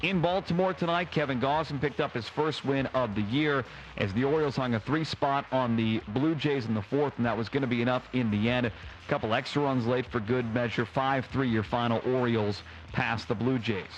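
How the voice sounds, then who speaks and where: A man's voice: medium pitch, fairly quick, lo-fi sound. A man hosting a radio post-game show, recapping games and reading sponsor spots. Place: ballpark broadcast. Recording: clear background noise and ambience.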